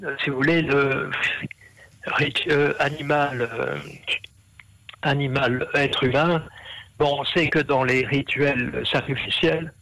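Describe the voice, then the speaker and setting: A man's voice: mid-range voice, level tone, slow pace, vocal fry, pauses often, pushed and bright, rough recording. A man explaining ideas at length in an interview, with many fillers and restarts. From half Brazilian. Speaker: interview guest, scholar.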